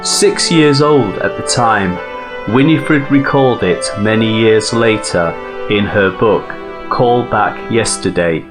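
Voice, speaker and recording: A man's voice: lowish voice, slow. A man narrating a scripted documentary piece as host, with plucked guitar music behind.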